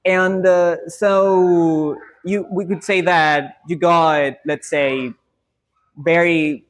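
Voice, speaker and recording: A woman's voice: lowish voice, steady tone, extremely slow. A woman interviewing a guest, asking hesitant questions with many fillers.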